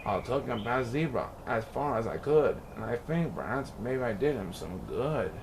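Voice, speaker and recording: Animated man reading rhymes, noisy recording. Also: medium pitch, moderate pace, vocal fry. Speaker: picture book reader. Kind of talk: expressive read-aloud of rhyming children's story.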